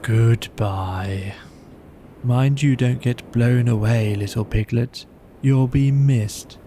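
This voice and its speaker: A man voicing a gloomy old donkey who speaks slowly and mournfully, in a deadpan way. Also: medium pitch, a little hiss or hum, natural voice. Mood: mournful farewell. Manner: slow, doleful, deadpan.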